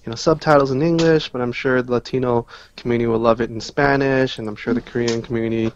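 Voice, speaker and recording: A man chatting casually as a podcast guest, explaining things in a measured, hesitant way. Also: mid-range voice, fairly even intonation, muffled recording, slight background noise. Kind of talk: casual chat.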